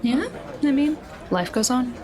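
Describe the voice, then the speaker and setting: A woman's voice: high-pitched, animated, unhurried, music in the background. A woman playing a tabletop role-playing game, speaking in an informal, conversational way. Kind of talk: casual role-play chat.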